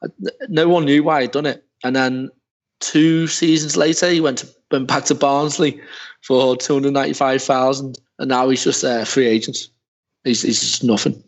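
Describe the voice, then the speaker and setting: A man's voice: medium pitch, steady tone, moderate pace. A man on a podcast panel telling a football story casually, swearing now and then. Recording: dull lo-fi podcast audio.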